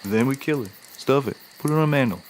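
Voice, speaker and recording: A man's voice: mid-range voice, fast talker, lightly acted. Man acting a folksy, eager small-town monster hunter. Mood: eager, vengeful. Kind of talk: scripted comedy acting.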